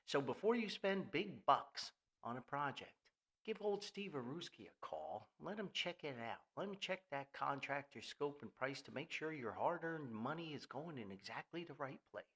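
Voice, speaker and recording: A man's voice: a little high, fairly bright tone. An older man hosting an informal podcast in a folksy, jokey, rambling way with theatrical emphasis. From New Jersey, United States. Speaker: podcast host. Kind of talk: informal solo podcast monologue with sponsor reads.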